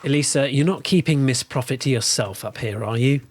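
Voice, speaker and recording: An actor playing a pompous, teasing agency boss. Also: male voice, medium pitch, fairly quick, close to an everyday voice. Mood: playful, pompous. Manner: pompous, jokey. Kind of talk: audio drama acting.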